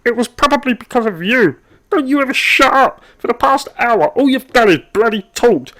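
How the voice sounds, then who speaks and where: An actor playing a long-suffering, irritable office worker in an animated comedy, terse and strained in his politeness. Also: mid-range voice, normal speed. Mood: furious outburst. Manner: terse, forced politeness, exasperated. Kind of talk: comic animated dialogue.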